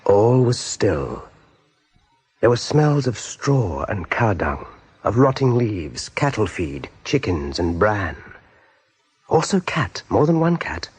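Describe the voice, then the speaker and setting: An actor as the storyteller of a radio play, narrating in measured, dramatic phrases. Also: male voice, lowish voice, big pitch swings, darkish tone, husky, full character voice. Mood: hushed, suspenseful. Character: measured, suspenseful male storyteller.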